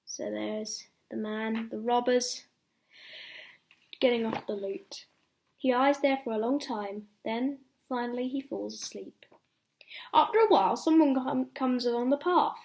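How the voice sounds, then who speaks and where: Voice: medium pitch, measured pace, vocal fry, darkish tone, slight background noise. A young reader reading a children's bedtime story aloud, expressively, with explanatory asides to little listeners.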